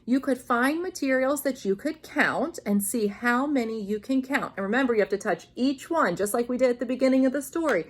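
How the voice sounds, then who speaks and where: A woman reading aloud to young children in an expressive, sing-song manner, like a teacher guiding them through a picture book. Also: fairly high pitch, brisk, few pauses. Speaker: children's story reader, teacher.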